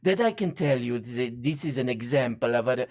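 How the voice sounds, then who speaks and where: A performer voicing a self-styled Sicilian version of a celebrity chef, with a deliberately bad fake Italian accent and halting speech full of "uh" pauses. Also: medium pitch, expressive, a little mellow, natural voice. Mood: earnest. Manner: hesitant, halting with many uh pauses. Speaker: comedy guest character.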